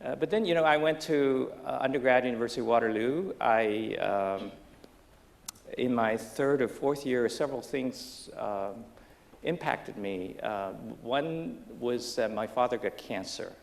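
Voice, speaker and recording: A middle-aged man from Taiwan, a business professor on a panel stage, telling his life story in a halting way with many restarts and fillers. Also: medium pitch, gravelly, slight background noise. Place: lecture stage under bright lights before an audience.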